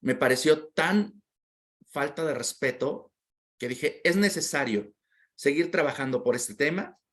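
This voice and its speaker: A man's voice: on the high side, expressive, unhurried, fairly bright tone. Reflective man sharing his story. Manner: heartfelt and reflective, repeats words while searching. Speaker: interview guest. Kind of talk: personal storytelling in conversation.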